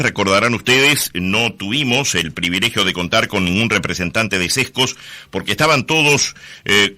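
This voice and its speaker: A man's voice: fairly low pitch, sharp tone. A man hosting a radio interview, formal and full of hesitant fillers. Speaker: radio host. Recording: radio broadcast audio.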